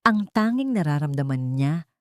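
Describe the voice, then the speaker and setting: Low-voiced woman narrating a drama. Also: normal speed, no echo, studio quality. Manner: steady, dramatic storytelling. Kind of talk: scripted audiobook narration.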